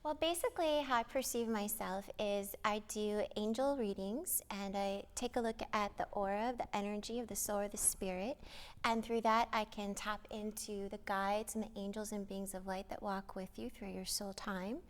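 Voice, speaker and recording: A woman's voice: medium pitch, steady tone, moderate pace. A woman interviewed as an angel reader, explaining her practice in long run-on sentences.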